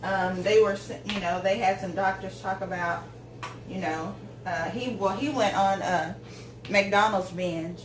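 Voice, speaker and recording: A rambling woman in her forties talks while she cooks in her home kitchen, drifting between tangents with plenty of ums. She stands away from the camera, so her voice sounds distant and bounces around a roomy, echoey space. A steady layer of room noise sits under everything she says. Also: medium pitch, unhurried. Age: 40s. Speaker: home cook vlogger. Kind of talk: casual chat while cooking.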